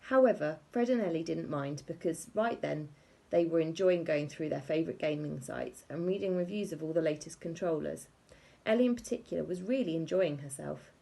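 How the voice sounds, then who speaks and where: Woman reading kids book out loud, expressive, soft husky voice with some vocal fry. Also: low voice, a little fast. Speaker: audiobook reader. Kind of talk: expressive children's story narration.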